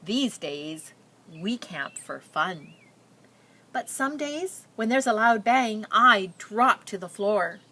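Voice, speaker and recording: A woman reading a picture book aloud, telling the story and pausing with simple explanatory asides for young listeners. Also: medium pitch, expressive, sharp tone.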